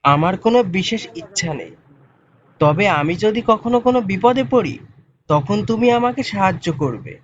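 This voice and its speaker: A man's voice: sits high, lively intonation, moderate pace, highs cut off. A man voicing a humble, brave young cowherd in a fairy-tale cartoon, speaking earnestly. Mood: content, modest.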